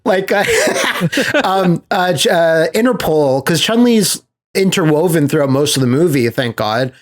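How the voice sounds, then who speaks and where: A man hosting a podcast in casual chat, telling stories in an animated, sweary way with laughter mixed in. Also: on the high side, measured pace. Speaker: podcast host.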